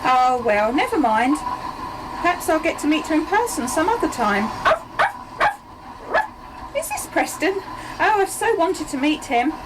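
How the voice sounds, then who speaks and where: A woman's voice: high voice, sing-song, a little slow, pauses often. A woman acting a friendly, fussing lady train passenger in an amateur radio play, polite and full of exclamations. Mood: eager, polite. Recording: noisy community radio studio recording.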